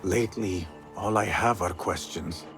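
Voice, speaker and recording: An actor playing a troubled, earnest young assassin novice in a video game, speaking formally and in a measured way. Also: male voice, deep voice, fairly bright tone. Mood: guarded. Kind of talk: dramatic video game acting. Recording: dramatic music behind the voice.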